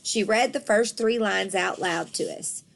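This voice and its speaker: A woman reading a children's book aloud in an expressive, animated storytelling manner for young listeners. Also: mid-range voice, measured pace, vocal fry.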